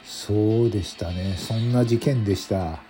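A man's voice: lowish voice, measured pace, mellow, soft background music, lightly acted. A man playing a polite, deferential junior detective in a radio drama, asking hesitant questions.

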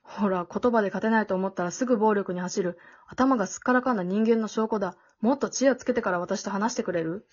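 A woman acting a blunt, exasperated but protective teenage girl in an amateur radio drama, dry and sharp-tongued. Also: medium pitch, quick pace, slightly rough, natural voice. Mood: scornful, defiant. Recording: dull, lo-fi audio.

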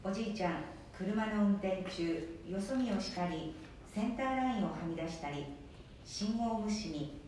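A woman's voice: moderate pace, darkish tone. Female radio drama narrator, scripted, polite delivery, middle register; far from the mic, strong room echo. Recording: very echoey, distant, faint rumble noise.

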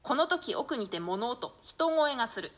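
A woman's voice: a little high, very animated, measured pace. A woman narrator reading out stage directions in a scripted reading of a play.

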